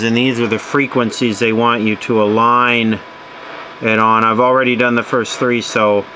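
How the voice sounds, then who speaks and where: A man's voice: medium pitch, pushed and bright, slight background noise, poor audio. A man casually demonstrating a radio repair at his workbench, explaining each step plainly as he works. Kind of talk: casual hands-on workshop demo.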